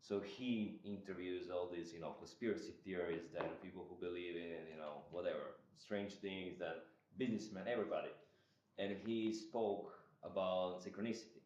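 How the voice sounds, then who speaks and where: A deep-voiced man, to that language, as you'd hear hosting a relaxed interview in a bare, echoing back room. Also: normal speed, slight background noise. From Croatia. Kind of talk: casual conversational interview. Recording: echoey room, dull lo-fi audio.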